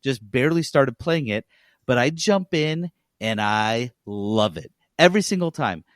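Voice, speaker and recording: A man co-hosting a podcast, telling things in an animated, emphatic way amid casual banter. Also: medium pitch, a little slow, dry recording, studio quality. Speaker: podcast co-host.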